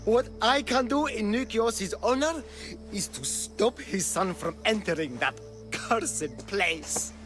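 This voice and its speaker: An actor playing a guilt-ridden, gentle old Greek man in a video game cutscene, grave and halting. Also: high-pitched, lively intonation. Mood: grave, regretful. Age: older. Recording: loud music behind.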